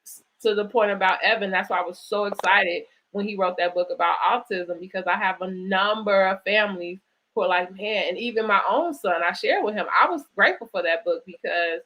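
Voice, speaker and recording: A woman's voice: mid-range voice, fairly quick, dull audio. A woman hosting a conversational live online interview, speaking in an encouraging, affirming way. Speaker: host interviewing an author.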